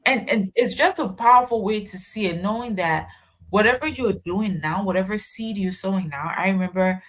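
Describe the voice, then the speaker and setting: A woman's voice: medium pitch, normal speed. A woman from Ghana, a podcast guest, talking in a reflective way and telling personal stories. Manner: reflective, tells personal anecdotes. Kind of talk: conversational storytelling.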